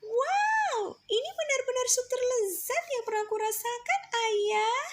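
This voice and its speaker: A woman reading a storybook aloud, voicing a cheerful young girl who excitedly praises her father's cooking. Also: very high voice, a little slow, pitched above the performer's own voice. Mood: delighted.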